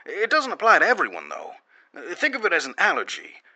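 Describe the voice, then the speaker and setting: A man's voice: high-pitched, huge pitch swings, a little fast, sharp tone, no room sound, full character voice. A man playing a guarded, defensive corporate security officer who gives secret testimony in an audio drama, explaining hesitantly with pointed emphasis. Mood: uneasy, explaining.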